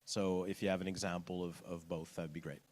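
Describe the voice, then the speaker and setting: A man's voice: low voice, level tone, brisk, darkish tone. A man moderating a panel discussion, asking curious, searching questions.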